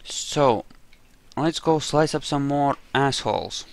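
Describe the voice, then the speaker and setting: A man with a mid-pitched voice commentates on a video game he is playing. He talks slowly, rambling through his choices as he makes them. His delivery is casual, with dry, wry humor. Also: gravelly. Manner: rambling, thinking aloud. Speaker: gamer narrating a roleplay playthrough.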